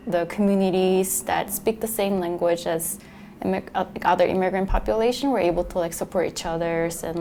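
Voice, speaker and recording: Halting young immigrant woman, lowish voice. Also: darkish tone, slight background noise. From born in Korea, moved to New York in youth. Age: young adult. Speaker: interviewee, local resident. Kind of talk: casual spoken testimony.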